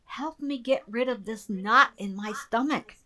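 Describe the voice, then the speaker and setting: A woman's voice: on the high side, a little slow, pushed and bright. A woman in a community radio play, playing an earnest assistant code breaker in a conversational way. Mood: earnest, uneasy. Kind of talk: community theater radio drama dialogue.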